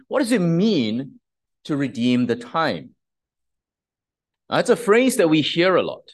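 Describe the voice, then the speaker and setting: A man preaching a sermon in a measured, explanatory way, posing rhetorical questions to the congregation. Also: medium pitch, fairly bright tone. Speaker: pastor.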